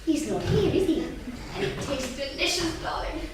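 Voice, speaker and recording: A woman acts out a breezy, defiant wife and mother. Her voice is fairly high and very animated, with an airy, challenging manner. It carries through a very echoey theatre. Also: moderate pace. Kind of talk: staged script reading. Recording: echoey theatre, distant mic, faint noise.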